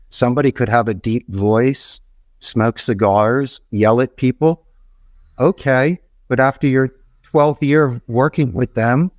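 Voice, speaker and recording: A middle-aged man from Philadelphia talks as an interview guest, piling up folksy, emphatic advice in long, rambling runs. His voice sits at an ordinary middle pitch. The sound is dry and close. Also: dry recording. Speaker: podcast guest, call center entrepreneur.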